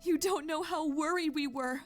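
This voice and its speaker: A woman's voice: sits high, moderate pace, close to an everyday voice. A woman voicing an emotional, pleading child in an amateur visual novel, with music underneath. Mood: overjoyed, tearful. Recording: music over the voice.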